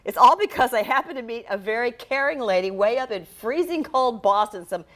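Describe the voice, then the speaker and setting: A woman's voice: on the high side, lively intonation, fairly quick, a bit bright. A woman news anchor and reporter telling stories in a chatty, emphatic, conversational way.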